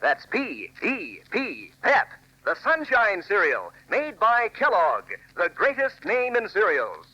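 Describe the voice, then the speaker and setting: A man's voice: high voice, huge pitch swings, takes it slowly, pushed and bright. A man enthusiastically delivering a folksy, emphatic radio commercial to children in 1940s announcer style. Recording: dull old 1940s radio recording.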